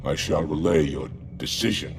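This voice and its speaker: A man voicing a stern, formal alien military commander in a game, forceful and aggrieved. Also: a bass voice, expressive, normal speed, creaky, noisy background, exaggerated voice. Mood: restrained deference. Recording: distant, under loud music.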